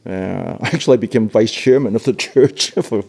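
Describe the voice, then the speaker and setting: An older man from Hong Kong giving an oral history interview, speaking haltingly with frequent repeated words and laughs. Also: on the low side, gravelly, darkish tone.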